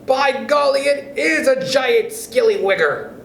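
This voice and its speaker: A man's voice: extremely high pitch, animated, a little slow, gravelly, a bit bright, echoey room, a little hiss or hum, pitched above the performer's own voice, full character voice. A man voicing a nasty, scheming old husband from a children's storybook, taunting and exaggerated. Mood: mock alarm.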